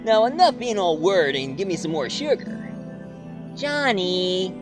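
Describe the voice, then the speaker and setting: A woman's voice: on the low side, sing-song, a little slow, music in the background, pitched above the performer's own voice. A storyteller voicing a cocky teenage boy who brushes off every worry in a swaggering, dismissive way.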